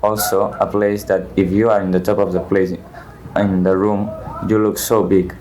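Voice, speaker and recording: A teenage boy from Spain speaks with a low, flat voice. He talks in a halting way, correcting himself often. Faint hiss sits behind him. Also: low-quality recording. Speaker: student. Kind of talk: podcast discussion.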